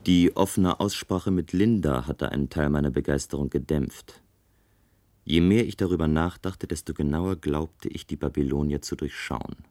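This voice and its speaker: Very deep, sober male narrator. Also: moderate pace, a little mellow. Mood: sober, reflective. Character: weary male tower builder, sober and reflective. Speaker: narrator and lead actor. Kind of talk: radio play first-person narration.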